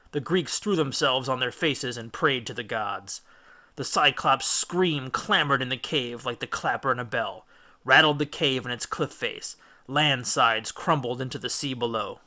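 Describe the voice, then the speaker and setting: A man's voice: medium pitch, sharp tone. A man reading an adventure story aloud as dramatic, scripted audiobook narration. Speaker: audiobook reader.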